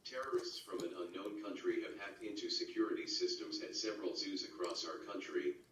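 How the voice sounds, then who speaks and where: A man playing a deep-voiced, solemn government spokesman. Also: a little fast, fairly bright tone. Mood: grave. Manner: solemn, formal. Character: grave male government representative addressing the nation. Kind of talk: scripted national address. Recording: echoey, distant, faint noise.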